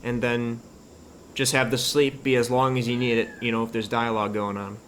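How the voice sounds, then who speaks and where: A man with a medium-pitched voice talks through a hands-on tutorial in a casual, offhand way. He thinks aloud as he works, explaining each step informally, like someone narrating his own screen. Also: level tone, moderate pace, a bit bright, slight background noise. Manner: informal, loose and offhand, occasional swearing. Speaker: host of a game modding tutorial.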